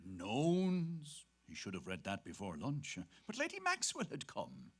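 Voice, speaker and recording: A man's voice: mid-range voice, huge pitch swings, unhurried, a bit bright, slightly rough. A man performing a dramatic reading of literary prose. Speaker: actor reading aloud.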